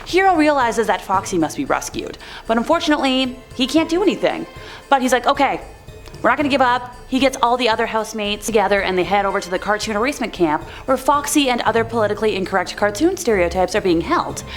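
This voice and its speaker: Woman doing a chatty scripted voiceover, kinda high voice, dry little jokes, soft music behind. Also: lively intonation, quick pace. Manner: chatty and wry, with sarcastic asides. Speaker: online video narrator. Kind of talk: casual scripted commentary.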